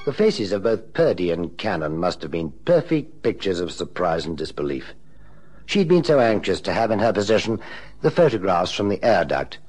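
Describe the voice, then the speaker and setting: A man with a husky voice narrating a scripted 1960s radio drama. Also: deep voice, a little mellow.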